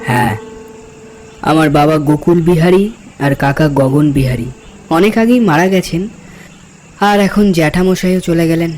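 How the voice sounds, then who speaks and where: A man playing a kindly, weary uncle in a cartoon drama, speaking in a gentle, placating way. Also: a little high, a little slow, pauses often, soft background music, natural voice, rough recording. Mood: wistful.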